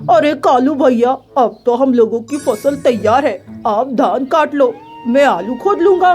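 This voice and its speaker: Cartoon pigeon farmer, smug male, voiced by a woman: loud, taunting, high-pitched, over background music. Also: lively intonation, a little slow. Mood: relaxed.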